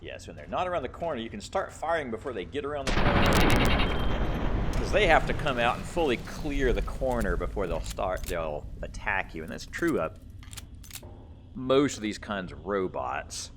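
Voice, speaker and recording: A man with a deep voice hosts a casual gaming video. His pitch moves around in a lively way as he comments, with faint game noise behind him. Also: normal speed. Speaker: gamer, let's play host. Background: video game sounds.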